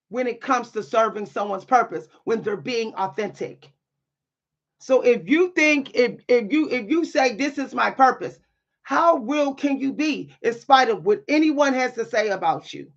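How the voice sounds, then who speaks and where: A woman with a deep, at times loud voice, speaking in a bold, sassy way with dry humor and repeating phrases for emphasis in a motivational monologue. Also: vocal fry, dull audio. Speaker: spiritual content creator and writer.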